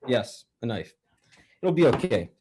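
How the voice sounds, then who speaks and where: An actor playing an eager, casually reassuring hired killer in a 1940s radio drama, repeating himself. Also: male voice, mid-range voice, sing-song, unhurried, mellow, slight background noise.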